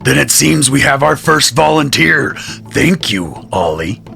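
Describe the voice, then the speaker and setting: An actor playing a gruff, domineering, foul-mouthed enforcer among war conscripts in an audio drama, barking orders. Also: male voice, mid-range voice, very animated, a little slow, bright, forceful tone, soft background music, exaggerated voice. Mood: sardonic.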